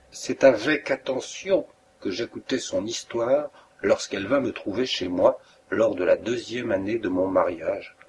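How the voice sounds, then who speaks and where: A man reading a classic detective story aloud as an audiobook narrator, in a measured, literary way. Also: fairly low pitch, a little mellow, a little hiss or hum. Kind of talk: scripted narration.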